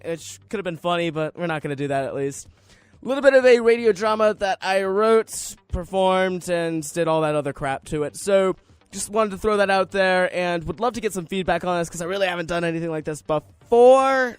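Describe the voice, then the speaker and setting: A man's voice: sits high, no room sound. A man hosting a radio show with casual, rambling, slangy chat.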